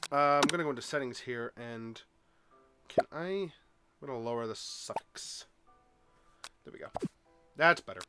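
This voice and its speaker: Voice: mid-range voice, moderate pace, long pauses, over music. A let's-play host who sounds stuffed up from a cold, chatting casually through a game on a headset mic at home. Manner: chatty, reads on-screen text aloud with asides. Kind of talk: casual gameplay commentary. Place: home gaming setup.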